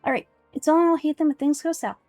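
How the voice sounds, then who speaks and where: Woman acting little girlish fairy companion, squeaky high, excitable, indignant, fantasy game, background music. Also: quick pace, dry recording, lightly acted. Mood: nervous, chirpy.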